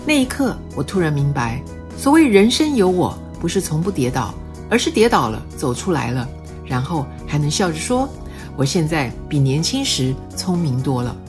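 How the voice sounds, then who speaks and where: Low-ish lively woman voice, 60s, heartfelt voiceover with soft music under it. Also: normal speed. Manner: reflective and heartfelt. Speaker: host. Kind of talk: reflective voice-over narration. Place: voice-over.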